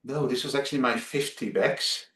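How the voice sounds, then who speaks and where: A man's voice: medium pitch, normal speed, a bit bright, reverberant. A man from the Netherlands with a Dutch accent, a guest chatting over a video call, hesitant with many fillers and restarts. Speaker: guest, travel blogger.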